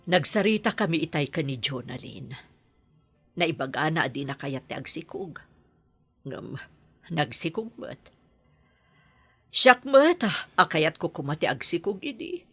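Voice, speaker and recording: Kindly landlady, Ilocano accent, low husky female voice, animated intonation, radio drama. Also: unhurried, pauses often, no echo, soft background music, heavily acted. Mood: wistful. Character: kindly childless married landlady longing for a baby. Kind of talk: Ilocano audio drama acting.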